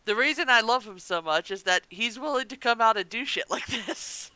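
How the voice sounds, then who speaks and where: A woman with a fairly high, bright voice talks as an enthusiastic podcast co-host. She is emphatic and casual, recorded close and dry. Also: lively intonation, normal speed, clean tone, dry recording. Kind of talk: casual fan chat.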